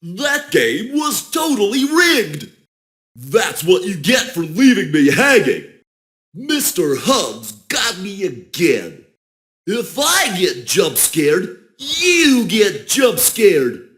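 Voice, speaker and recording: A man's voice: sits high, wildly swooping pitch, slow, fairly bright tone, full character voice. A man voicing a petulant toy animatronic in a video game, sulkily complaining like a sore loser. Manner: sulky complaining. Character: petulant, whiny toy animatronic with plastic fingers.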